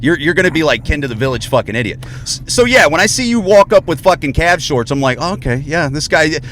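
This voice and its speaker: A man from Ohio hosting a casual podcast chat, excitable, swearing freely and saying "dude" constantly. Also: a little high, fast, slight background noise. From Ohio, USA. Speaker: podcast host.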